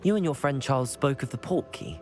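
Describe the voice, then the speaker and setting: A man's voice: medium pitch, expressive, a little fast, close to an everyday voice. Man playing composed young wizard student. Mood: composed, curious. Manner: polite, composed. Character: polite, self-assured young male wizard student. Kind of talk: video game cutscene acting. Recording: music behind.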